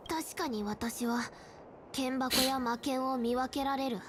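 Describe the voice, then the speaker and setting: A woman's voice: high-pitched, fairly even intonation, moderate pace, noisy background, lightly acted. A woman playing a blunt, unbothered young swordswoman in a Japanese game. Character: blunt young girl swordswoman accused of theft.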